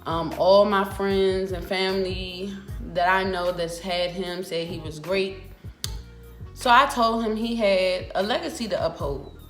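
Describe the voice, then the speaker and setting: A young American woman vlogs in a casual storytelling style, with a mid-pitched voice. She is sassy and emphatic, driving her points home with animated delivery. The room adds noticeable echo, and music plays underneath. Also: unhurried. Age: 20s. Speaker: vlogger. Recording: roomy echo with music behind.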